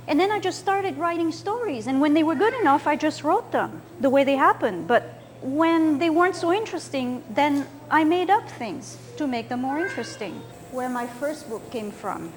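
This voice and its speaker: High-voiced woman in her sixties, from France. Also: expressive, normal speed. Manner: gentle, explaining and pointing at pictures. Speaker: children's author. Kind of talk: slideshow talk for children.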